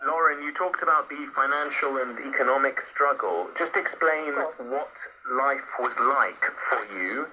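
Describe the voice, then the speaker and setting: A man's voice: mid-range voice, unhurried, few pauses, bright, forceful tone, highs cut off, a little hiss or hum. A man presenting a radio documentary in a scripted narration style, heard over a shortwave broadcast.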